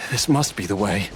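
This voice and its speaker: A man voicing a haunted, weary but resolute searcher in a video game, earnest and halting. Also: fairly low pitch, slight background noise.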